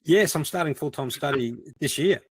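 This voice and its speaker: A man's voice: a little high, lively intonation, normal speed, slightly rough, no room sound. A man in a casual panel discussion, explaining things and thinking aloud with plenty of "you know". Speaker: guest, crime fiction writer.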